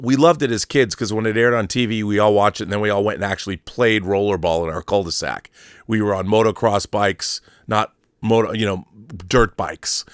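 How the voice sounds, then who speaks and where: Low-voiced American man, emphatic. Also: smooth, no echo, clean studio sound. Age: 50s. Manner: emphatic, nostalgic, repeats phrases for stress. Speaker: online show host. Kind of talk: opinionated casual monologue on pop culture.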